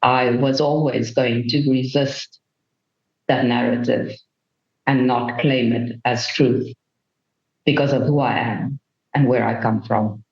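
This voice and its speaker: A woman speaks as an interview guest in an earnest, measured way. Her voice carries a noticeable room echo. The recording sounds a little muffled and lo-fi. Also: very deep voice, fairly even intonation, darkish tone. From Namibia. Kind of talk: reflective interview answer. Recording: roomy, dull remote recording.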